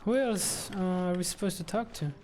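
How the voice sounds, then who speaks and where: A woman's voice: lowish voice, moderate pace, a little mellow, slight background noise. A woman streaming a game, reacting casually with informal running asides. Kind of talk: casual live gameplay reaction.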